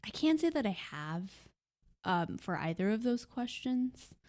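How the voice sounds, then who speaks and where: A young woman in a casual podcast conversation, talking softly and hesitantly with many pauses. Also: fairly high pitch, very animated. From Puerto Rican heritage. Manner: soft-spoken, hesitant with many ums. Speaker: podcast guest.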